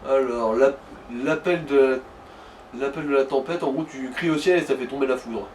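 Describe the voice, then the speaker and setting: A man's voice: mid-range voice, normal speed, a little mellow. Man chatting casually, distant from the mic. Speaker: advisor to the player in a let's play. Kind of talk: casual explanatory gaming chat. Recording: distant mic, faint background noise.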